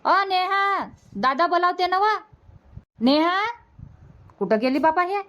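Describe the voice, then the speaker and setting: A woman's voice: extremely high pitch, expressive, takes it slowly, a bit bright, full character voice. A woman voicing a thrifty, nagging older village mother in a cartoon comedy, with colloquial Vidarbha Marathi. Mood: fretful.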